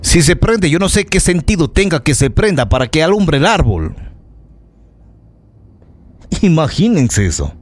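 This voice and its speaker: A man's voice: on the high side, very animated, measured pace, pauses often. A man with an Ecuadorian accent hosting a local radio programme, giving indignant, emphatic commentary to listeners and repeating phrases for effect. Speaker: local radio news host. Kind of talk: live opinionated radio commentary, addressing listeners.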